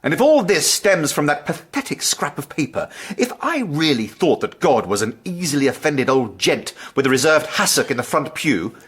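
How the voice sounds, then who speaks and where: A wry, earnest English schoolmaster in a radio drama. His pitch swings widely and his voice has a husky edge, while his phrasing stays formal and articulate. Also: very animated, normal speed, few pauses, a bit bright, heavily acted. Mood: defiant. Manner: formal, articulate, dryly indignant. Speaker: lead actor and narrator. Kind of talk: radio drama acting and narration.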